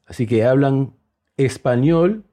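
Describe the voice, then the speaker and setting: A man's voice: medium pitch, slow, warm tone. A man, native in English, telling casual stories in Spanish with a mixed Peruvian, Spain and Mexican accent, acting out dialogue. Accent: mixed Spanish with Peruvian, Spain and Mexican influences. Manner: acts out dialogue while telling anecdotes. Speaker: host. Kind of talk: casual conversational storytelling.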